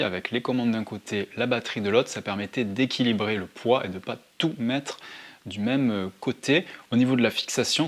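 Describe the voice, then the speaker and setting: A man narrating a casual hands-on tutorial to his viewers, thinking aloud as he handles things. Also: medium pitch. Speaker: motorcycle vlogger presenting gear. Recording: clear background noise, handling clicks.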